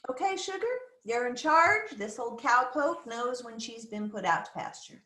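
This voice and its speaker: A woman's voice: on the high side, animated, normal speed, sharp tone, echoey room, poor audio. An actress playing a cranky, nosy older mother in a screenplay table read, bossy and theatrical. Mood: dramatic resignation.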